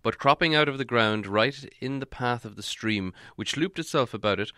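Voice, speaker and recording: A man narrating an audiobook in a measured storytelling way, softening on descriptive passages. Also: medium pitch, dry recording, clean studio sound. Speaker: audiobook reader.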